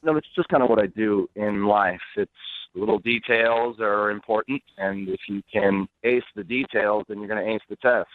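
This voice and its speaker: A man's voice: fairly low pitch, moderate pace, dry recording. A man speaking bluntly and smugly over the phone as a guest in an unscripted radio call, unapologetic in his answers. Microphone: telephone. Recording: band-limited phone line.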